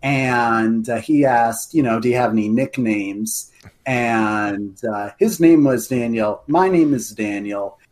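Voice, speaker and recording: A young man with a deep bass voice tells a long story as a podcast guest. He sits at a middling pitch, speaks eagerly and fills his pauses with uh and um. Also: darkish tone. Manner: eager storyteller, many uh and um fillers. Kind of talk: casual chat.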